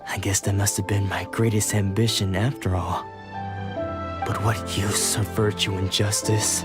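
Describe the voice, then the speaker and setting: A man's voice: lowish voice, close to an everyday voice. A man voicing a brooding, troubled man. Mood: bitter. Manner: brooding, melancholic, soft. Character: weary, troubled man who lost his memories. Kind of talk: video game voice acting. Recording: game score behind.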